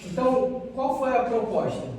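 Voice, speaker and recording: A Brazilian man giving an academic research presentation as a student, haltingly, often repeating and restarting phrases. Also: very high-pitched, big pitch swings, darkish tone. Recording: distant mic, very echoey room, faint noise.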